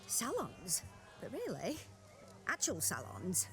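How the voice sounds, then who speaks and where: A woman's voice: on the low side, slow, exaggerated voice. A giddy, naive village girl. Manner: breathless, excitable, rustic. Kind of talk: video game cutscene voice acting. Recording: game soundtrack music behind.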